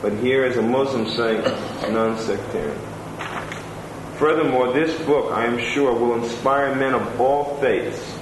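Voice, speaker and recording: Man, mid pitch, reading aloud, plain asides, roomy room, clear ambient noise. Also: fairly even intonation, a little slow. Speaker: disciple reading letters aloud. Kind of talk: reading letters and reviews aloud.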